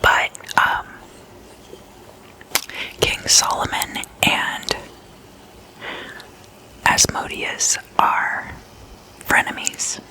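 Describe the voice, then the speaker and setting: Asmr host whispering real slow n breathy, casual storytelling, super intimate, repeats words softly. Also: rough recording.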